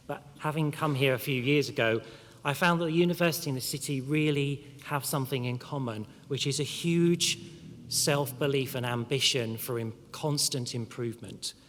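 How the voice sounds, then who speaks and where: A man addressing graduates at a ceremony, speaking in a measured way with pauses and the odd filler. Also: medium pitch, a bit bright. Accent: non-local, not Mancunian. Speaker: university official giving a graduation address. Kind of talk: formal ceremony speech. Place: large hall. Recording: long reverb of a large hall.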